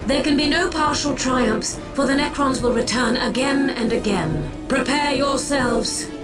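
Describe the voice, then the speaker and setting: An actress playing a solemn, commanding alien war seer in a video game, speaking gravely and formally with theatrical weight. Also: female voice, high-pitched, lively intonation, measured pace, music in the background. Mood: rallying, solemn. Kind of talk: dramatic video game voice acting.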